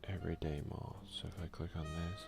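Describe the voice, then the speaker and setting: A young man doing a soft-spoken ASMR let's play, often slipping into whispers as he comments and reads out game text close to the microphone. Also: sits low, flat delivery, brisk, creaky, music in the background. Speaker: gaming video creator. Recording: faint noise behind a home recording.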